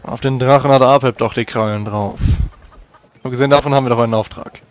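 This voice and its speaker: A man's voice: mid-range voice, steady tone, fast, natural voice. A man playing a weary, irritable one-eyed adventurer in a tabletop game, curt and sceptical.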